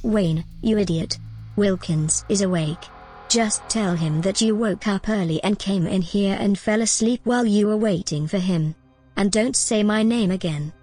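An actress playing a protective, secretive AI companion in a science-fiction audio drama, earnest and cautioning. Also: female voice, mid-range voice, measured pace, warm tone, slightly rough, faint music behind. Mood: urgent, discreet. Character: protective, secretive female artificial intelligence companion.

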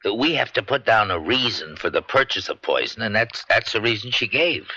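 A man's voice: lowish voice, lively intonation, a little fast, bright, forceful tone, exaggerated voice. A man acting an earnest, nervous drugstore clerk giving testimony in a radio drama, hesitant and deferential. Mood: earnest, careful. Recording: dry, dull vintage radio broadcast.

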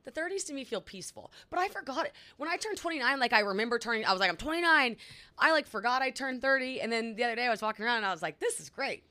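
Woman, 30s, podcast co-host, bright voice, chatty storyteller. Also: on the high side, lively intonation, racing. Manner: chatty storyteller, lots of fillers. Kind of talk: casual chat.

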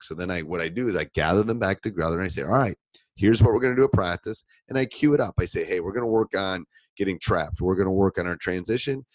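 A man in his forties with a deep voice and a cold, a basketball coach answering questions in a casual online talk. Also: no echo. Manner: conversational, repeats himself, answers questions read aloud. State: has a cold. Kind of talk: webinar Q&A talk.

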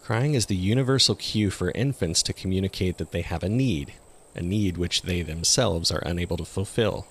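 A man's voice: deep voice, normal speed, slightly rough. A man hosting and narrating a podcast, reading from a script.

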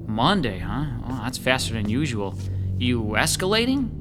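A man's voice: medium pitch, very animated, moderate pace, over music, close to an everyday voice. A man playing a chatty, joking handyman with a morbid streak in an audio drama. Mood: breezy, sly. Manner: casual, joking, chuckling.

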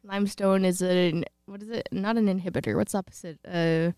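A woman's voice: medium pitch, fairly even intonation, a little fast, mellow, clear, resonant tone, dry recording, clean studio sound. A woman from rural Texas with a slight twang, chatting casually as a podcast co-host in a playful, giggly way with frequent interjections. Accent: American, slight Texas twang. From rural Texas, United States. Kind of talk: casual chat.

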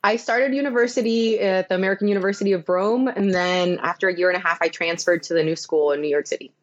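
A woman's voice: medium pitch, fast talker. Miami woman chef on dull remote audio. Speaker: chef, podcast guest. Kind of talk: casual interview answers.

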